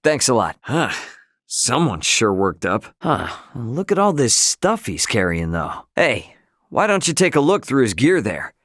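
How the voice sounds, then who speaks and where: Young male video game adventurer, mid-pitched, expressive intonation, easygoing, friendly, breezy casual phrasing. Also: unhurried, no room sound, clean studio sound. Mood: upbeat, reassuring, then wry, concerned. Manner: casual, folksy, breezy slangy delivery.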